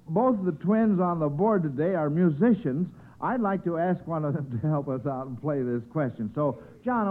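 High-voiced jovial American radio quiz host. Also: male voice. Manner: jovial, chuckling, folksy, bumbling. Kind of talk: 1940s radio quiz show hosting. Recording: scratchy old radio broadcast, narrow phone-like sound.

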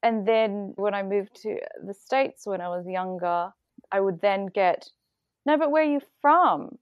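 A young woman from Bali, a guest speaking thoughtfully in a casual conversation, pausing to choose her words. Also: mid-range voice, no echo, highs cut off. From Indonesia (Bali). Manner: reflective, thoughtful, with hesitations.

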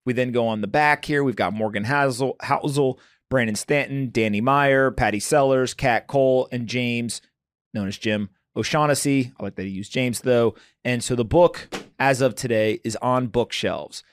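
A man's voice: mid-range voice, moderate pace, smooth, dry recording, clean studio sound. A man in his forties hosting a podcast, talking loosely with slang and jokes. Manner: loose, joking, informal slang. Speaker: podcast host. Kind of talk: casual conversational interview.

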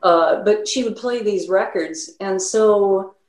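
A woman's voice: medium pitch, takes it slowly, a little mellow, roomy sound. A woman who grew up in Sweden, with a slight Swedish accent, talking casually as a musician guest in an interview and rambling with many fillers.

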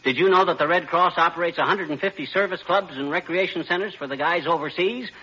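An American actor playing a blustering, folksy, self-important husband in 1940s radio comedy, boastful and defensive in slangy speech. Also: male voice, a little high, quick pace, bright, forceful tone, breathy edge. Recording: dull, lo-fi 1940s radio recording.

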